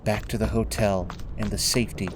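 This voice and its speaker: A man narrating a scripted dramatic story in a measured, solemn way, with music behind him. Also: lowish voice, level tone, noisy background. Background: prominent music.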